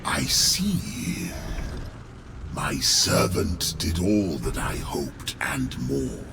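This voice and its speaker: Sinister sorcerer warlord for a video game: gravelly, drawn-out, gloating delivery under loud music. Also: male voice, deep voice, big pitch swings, heavily acted. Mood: gloating triumph. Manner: slow, theatrical, mocking, menacing. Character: sinister, gloating Chaos sorcerer lord, a daemonic villain. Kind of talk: video game villain acting.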